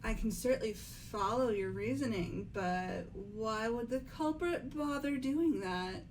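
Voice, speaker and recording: A woman playing a composed, doubting young aristocratic girl with measured delivery in an amateur game dub. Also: a little high, slight background noise, rough recording.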